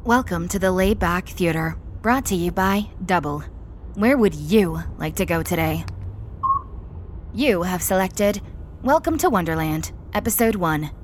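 A woman's voice: lowish voice, lively intonation, measured pace, noisy background. A woman voicing a courteous automated car entertainment system in an audio drama, making polite, formulaic announcements. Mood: courteous, promotional. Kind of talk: scripted announcement. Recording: music playing underneath.

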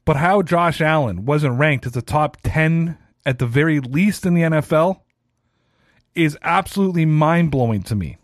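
A man's voice: fairly high pitch, lively intonation, moderate pace, no room sound, clean studio sound. A man in his thirties hosting a solo sports podcast, talking casually and ranting with a self-deprecating streak. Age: 30s. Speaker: podcast host.

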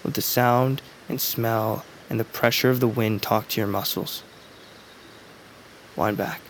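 A man playing a serene, philosophical young blind brother in a fantasy audio drama, with lyrical, earnest, measured phrasing. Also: lowish voice, steady tone, slight background noise, close to an everyday voice. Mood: calm guidance.

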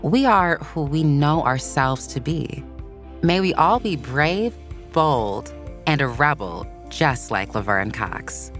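Storytelling narrator, mid-range voice, very varied intonation, measured delivery, children's biography, soft music underneath. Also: mid-range voice, animated. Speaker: podcast narrator. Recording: soft music bed behind voice. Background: background music.

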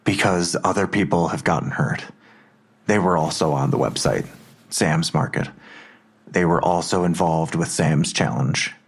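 An actor playing a worried, protective father in an audio drama, husky and earnest, reassuring but insistent. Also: low-pitched, measured pace, exaggerated voice.

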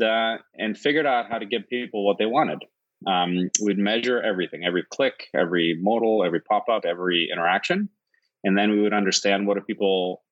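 A man from Iceland, a company founder answering interview questions in a steady, thoughtful way, often listing points in runs. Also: lowish voice, dull audio. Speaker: guest, tech founder.

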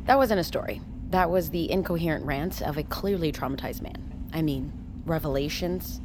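A woman's voice: deep voice, normal speed, lightly acted. A woman acting as a scornful, incredulous expedition skeptic in an audio drama, emphatic and dismissive. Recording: clear background noise of vehicle ambience.

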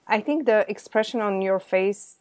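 A woman's voice: mid-range voice, normal speed, no echo. A woman hosting a casual interview, chatty and enthusiastic. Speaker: interview host.

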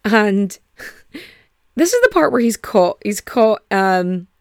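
Young woman, lively sweary true-crime narrator, higher voice, dry close sound. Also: slow, no echo. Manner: animated, sweary, acts out quotes in mock voices. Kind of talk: casual storytelling.

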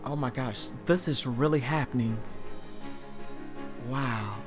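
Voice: medium pitch, takes it slowly, close to an everyday voice. A performer voicing a confident woman in a tuxedo, awestruck and dreamy, with faint music behind.

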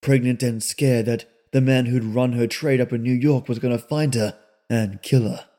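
A man reading aloud and voicing a sullen, weary young drifter who speaks in a low, rustic way. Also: fairly even intonation, normal speed, dark tone, close to an everyday voice. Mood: weary, somber. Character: sullen young male drifter, weary beyond his years.

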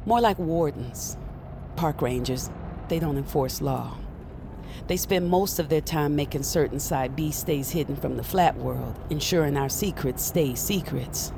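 Brisk, wry female guide for urban fantasy audio fiction: gravelly, casual, set in noisy ambience. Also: low-pitched. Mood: matter-of-fact. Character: brisk, wry woman guiding a magical novice. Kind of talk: naturalistic audio fiction acting.

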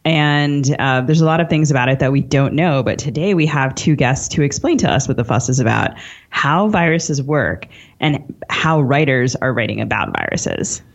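A woman's voice: a bass voice, lively intonation, normal speed, creaky, lo-fi sound. An American woman co-hosting a podcast, introducing and questioning guests in a conversational style. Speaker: podcast co-host, interviewer.

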